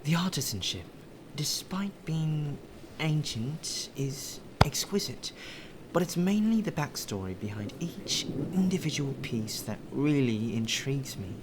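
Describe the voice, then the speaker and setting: A man's voice: on the high side, very animated, unhurried. A man playing a polite, deferential butler in an ASMR roleplay, with formal, flowery phrasing. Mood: admiring. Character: courteous, well-spoken male butler, deferential and appreciative. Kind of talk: ASMR roleplay acting, addressed to the listener. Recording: rain ambience and clear background noise.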